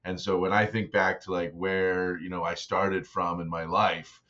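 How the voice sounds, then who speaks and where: Deep-voiced man on dull audio. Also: a little slow. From Saskatchewan, Canada. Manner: relaxed and reflective, with many fillers. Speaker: guest, startup investor. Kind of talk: casual interview conversation.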